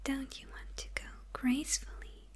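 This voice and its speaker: A young woman playing a smitten, menacing yet confused female supervillain in an ASMR roleplay, speaking in a breathy, hesitant whisper close to the microphone. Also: close to an everyday voice. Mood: coolly teasing. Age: young adult. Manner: slow, hesitant, halting murmur with pauses.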